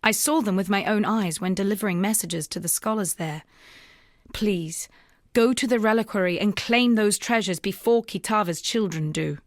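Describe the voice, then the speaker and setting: An actress voicing a grave, resolute young woman rebel in a fantasy video game, speaking in a measured, solemn storytelling way. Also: mid-range voice, no echo, clean studio sound, close to an everyday voice. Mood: urgent, earnest. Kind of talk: dramatic scripted video game dialogue.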